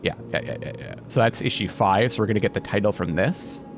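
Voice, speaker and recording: A man's voice: on the low side, fast talker. A man giving casual gaming commentary, thinking aloud, questioning himself and reading out bits of on-screen text. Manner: musing, often questioning himself. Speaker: let's play host. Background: game music.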